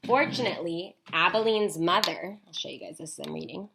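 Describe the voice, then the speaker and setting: A woman teacher reading a storybook aloud to children, expressive, with chatty asides. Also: on the low side, fairly quick, gravelly, a little hiss or hum. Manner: animated, with chatty asides to young listeners.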